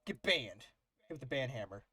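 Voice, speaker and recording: A man's voice: mid-range voice, lively intonation, moderate pace, sharp tone, slightly rough, no echo, clean studio sound. A man chatting casually as he streams and plays through a game with friends. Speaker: streamer playing a lawyer in a fan dub. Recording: music behind the voice.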